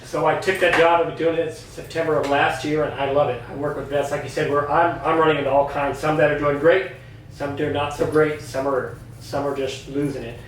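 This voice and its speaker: A man's voice: on the high side, steady tone, a little fast, slight background noise. A middle-aged man, a retired Army veteran, telling his story in an earnest, candid way as a podcast guest. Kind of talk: casual personal story. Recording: echoey room, mic at a distance.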